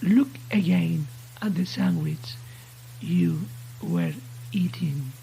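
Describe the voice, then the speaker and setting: A woman voicing a sinister radio entity in a horror story, taunting its victim with mock-friendly, unhurried questions. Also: on the low side, gravelly, mellow, a little hiss or hum, full character voice, low-quality recording. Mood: menacing calm. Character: sinister taunting radio voice that knows secret fears.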